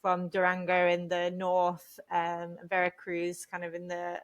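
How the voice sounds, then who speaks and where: Woman with flat, even intonation. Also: on the low side, a little slow, lo-fi sound. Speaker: interview guest, language business founder. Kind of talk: conversational interview.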